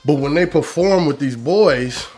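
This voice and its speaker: A man's voice: on the high side, a little slow, a little mellow, husky. A man who works as a singer, trainer and coach, telling personal stories casually in an interview.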